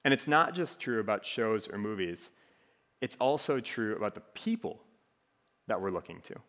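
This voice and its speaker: A young man from Minnesota with a faint Minnesotan accent, giving a relaxed, joking talk to a student group. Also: lowish voice, moderate pace, long reverb tail. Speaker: campus ministry speaker. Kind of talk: casual sermon to a student group.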